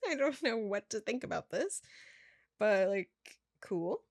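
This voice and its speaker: A woman's voice: mid-range voice, animated, slow pace, dry recording. A young woman vlogger reading her results aloud in casual chat, stumbling over words and adding offhand asides.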